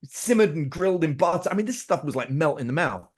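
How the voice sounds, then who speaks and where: A man's voice: on the high side, expressive, fast talker, breathy edge. A middle-aged man telling family stories in casual conversation, animatedly acting out his own lines and his children's. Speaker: podcast guest.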